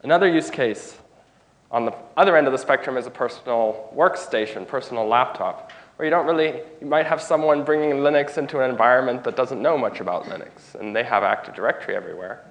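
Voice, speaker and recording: A man's voice: mid-range voice, quick pace, rough recording. A man giving a technical conference talk in a room, stuffed up from a cold, informal and often restarting phrases. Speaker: conference speaker.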